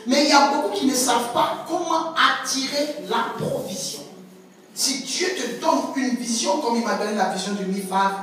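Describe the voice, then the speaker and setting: High-voiced woman preaching in an echoey hall. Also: normal speed, a bit bright, slight background noise. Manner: emphatic, repeats words, stretches key phrases. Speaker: prophetess preaching. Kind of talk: sermon. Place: church hall. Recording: echoey church hall, distant mic, faint music.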